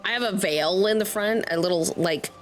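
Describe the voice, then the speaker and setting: A woman's voice: mid-range voice, normal speed, rough recording. A woman doing casual live-stream game commentary, chatty and candid, swearing freely. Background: game music.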